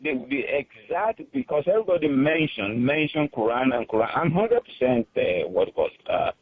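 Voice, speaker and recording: A man from Nigeria with a Nigerian accent, calling in to a radio show, earnest and halting with many fillers. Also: mid-range voice, sing-song, breathy edge. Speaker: caller. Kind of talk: call-in radio talk. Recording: tinny phone line.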